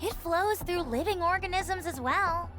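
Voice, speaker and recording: A woman's voice: very high-pitched, measured pace, bright, forceful tone, soft background music, heavily acted. A woman voicing an inquisitive, scholarly young female analyst in a video game, musing and precise. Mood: curious, musing.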